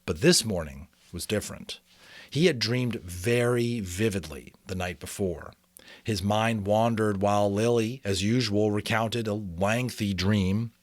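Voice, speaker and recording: Male amateur narrator-host: lowish pitch, expressive deliberate phrasing, casual storyteller feel, dry recording. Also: dry recording. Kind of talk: dramatic storybook reading with casual asides.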